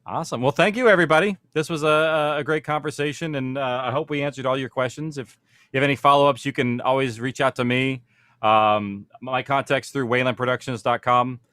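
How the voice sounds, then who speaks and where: A man's voice: medium pitch, steady tone, brisk, a bit bright, dry recording. A man speaking informally as a panel host, explaining things in a conversational way. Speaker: panel host, audio production producer.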